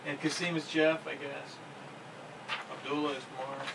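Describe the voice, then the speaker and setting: A man's voice: fairly high pitch, level tone, a little slow, vocal fry. A man chatting casually and jokily while running a voice acting rehearsal. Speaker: voice actor at a rehearsal. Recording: distant mic, noisy room ambience.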